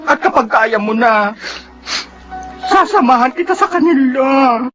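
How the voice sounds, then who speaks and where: An actress playing a supportive, gentle cousin in a Filipino radio drama, speaking earnestly and consolingly. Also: female voice, high-pitched, lively intonation, a little slow, muffled recording, faint music behind. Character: supportive, gentle cousin breaking sad news.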